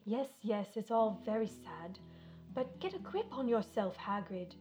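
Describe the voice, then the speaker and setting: Brief: a man playing a prim older woman professor for a storybook reading, high, lilting, clipped and scolding. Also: very animated, unhurried, a little mellow, soft background music. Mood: stern. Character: stern older female professor, prim and brisk. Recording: dull lo-fi recording.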